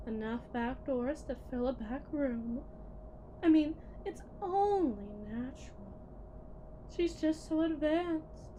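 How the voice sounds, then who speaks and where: Condescending, menacing female corporate technician woman acting, high-pitched, unhurried, theatrical ASMR roleplay delivery over faint noise. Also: dark tone, poor audio. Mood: conspiratorial, proud. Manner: theatrical, patronizing, deliberate with dramatic pauses. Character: condescending, menacing female lead technician at shady robot company. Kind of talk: scripted ASMR roleplay monologue.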